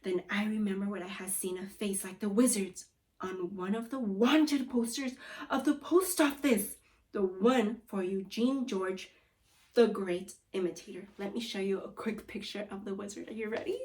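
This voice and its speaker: A woman teacher reading a children's story aloud and guiding pupils, expressive and instructive with deliberate phrasing. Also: mid-range voice, recorded from a distance. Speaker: second grade teacher.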